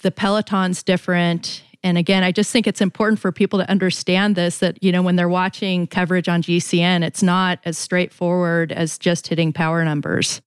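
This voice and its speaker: A female podcast host speaks in a fairly low, very clear and smooth voice. She sounds earnest as she sums things up conversationally, in a dry recording with no room sound. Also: level tone, a little fast, hardly any pauses, dry recording. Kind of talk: conversational interview.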